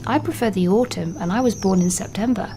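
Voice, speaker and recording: A woman's voice: medium pitch, fast, background noise, lightly acted. An actress playing a teenage schoolgirl who talks in a wistful, musing way. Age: teens. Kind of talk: radio drama acting.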